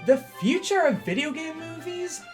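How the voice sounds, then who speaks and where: A woman's voice: very high-pitched, lively intonation, normal speed, faint music behind. A woman giving scripted video review commentary, with emphatic, dramatic stress on key words. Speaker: video essayist reviewing films.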